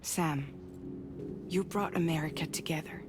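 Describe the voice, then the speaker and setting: A woman playing a composed, businesslike courier boss in a video game, speaking in a measured, direct way with a creaky voice. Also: background noise. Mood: grave, persuasive. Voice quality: creaky, low. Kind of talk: naturalistic video game cinematic acting. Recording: music behind the voice.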